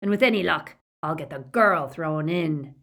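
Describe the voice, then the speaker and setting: A woman voicing a brutal, greedy male werewolf gang leader who growls, rasps and snarls his lines. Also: sits low, animated, normal speed, a bit bright, full character voice. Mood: greedy commanding. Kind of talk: audiobook character voice.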